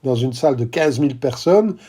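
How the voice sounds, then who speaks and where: Male coach host, middle-aged, husky dark voice, emphatic, checks in with listeners. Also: mid-range voice, lively intonation, brisk. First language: not English. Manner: emphatic, repeats key phrases, addresses listeners with "d'accord?". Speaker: podcast host and business coach. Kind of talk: podcast monologue.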